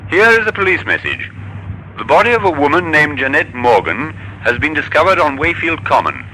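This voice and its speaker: Police announcer, very sharp tone, animated intonation, formal clipped bulletin, vintage radio hiss. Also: male voice, fairly high pitch, brisk. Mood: official, urgent. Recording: faintly noisy old radio recording.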